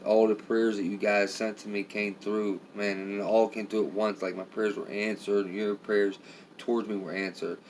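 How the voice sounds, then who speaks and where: A middle-aged man with a raspy voice, tired and rambling as he talks casually to viewers on a live stream. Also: fairly low pitch, flat delivery, normal speed, a little mellow, a little hiss or hum, poor audio. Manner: rambling, heavy with filler phrases. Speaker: vlogger.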